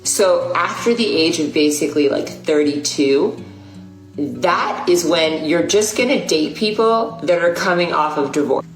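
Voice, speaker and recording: A woman in her forties talks bluntly and casually, swearing now and then. Her voice is very low and the room echoes around her. Faint guitar music plays beneath. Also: expressive, measured pace. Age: 40s. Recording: roomy echo.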